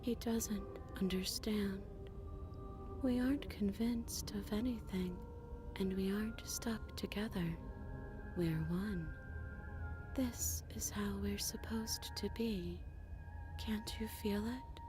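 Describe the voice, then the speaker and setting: Whispering, slow, eerie princess creature played by a woman. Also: medium pitch, over music, lightly acted. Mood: serene, insistent. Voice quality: breathy, whispery. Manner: slow, hushed, deliberate. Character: merged princess creature, eerie, serene, defiant of her captor. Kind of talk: voiced visual novel horror acting.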